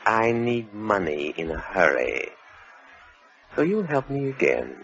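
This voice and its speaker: An actor playing a cold-blooded racketeer and blackmailer in an old radio crime drama, with a menacing, mocking, wordy manner. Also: male voice, fairly low pitch, big pitch swings, takes it slowly, gravelly, a bit bright, husky, exaggerated voice. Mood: cold, threatening. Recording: muffled vintage radio recording.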